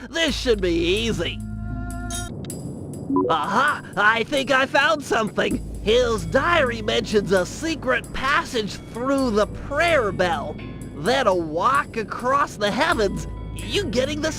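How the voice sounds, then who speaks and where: Very high, nasal male cartoon game sidekick: brainy, fussy planner with technical precision, loud music behind. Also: expressive, slow, heavily acted. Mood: focused, helpful. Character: nerdy, excitable male brainy strategist sidekick. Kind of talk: video game cartoon dialogue.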